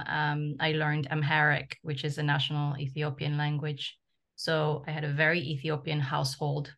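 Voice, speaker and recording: A woman whose first language is French speaks in a low, flat voice with a gravelly edge. She grew up in the Ivory Coast. She answers reflectively, pausing often with ums. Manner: reflective, with many ums and uhs. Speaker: guest, travel journalist. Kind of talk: interview answers.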